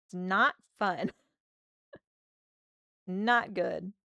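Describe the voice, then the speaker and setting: A woman with a slightly hoarse voice from a sore throat, chatting casually and thinking aloud while she draws on a livestream. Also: lowish voice, very slow, pushed and bright, dry recording, clean studio sound. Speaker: art streamer.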